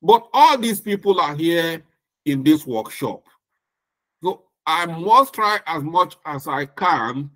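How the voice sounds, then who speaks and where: Middle-aged Nigerian-accented male lecturer, slightly high voice, slow and deliberate. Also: a bit bright, low-quality recording. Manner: slow, deliberate, with emphatic repetition. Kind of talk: recorded lecture.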